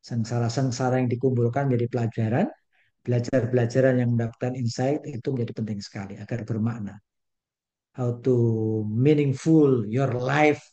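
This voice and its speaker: Older man lecturing, mellow voice. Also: medium pitch. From Indonesia. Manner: folksy, peppered with English phrases and rhymes. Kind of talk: online lecture. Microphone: video call.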